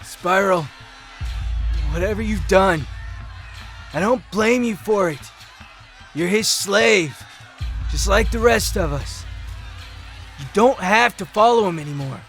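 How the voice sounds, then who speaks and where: A man's voice: high voice, takes it slowly, long pauses, a bit bright, soft background music, heavily acted. A man playing an earnest, defiant young hero in an audio drama, with impassioned, projected delivery. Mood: pleading.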